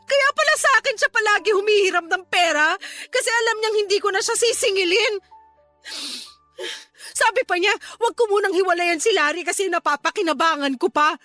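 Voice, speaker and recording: A woman's voice: extremely high pitch, brisk, bright, forceful tone, dry recording, faint music behind. A woman playing a remorseful, pregnant older sister in a radio drama, emotional and pleading, with a Filipino accent. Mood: tearful, bitter. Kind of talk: Tagalog radio drama acting.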